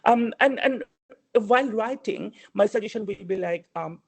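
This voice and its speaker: Animated man, high voice with a gravelly edge. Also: normal speed. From Bangladesh. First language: not English. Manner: animated, many fillers and restarts. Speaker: award-winning alumnus guest speaker. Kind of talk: webinar talk, casual advice.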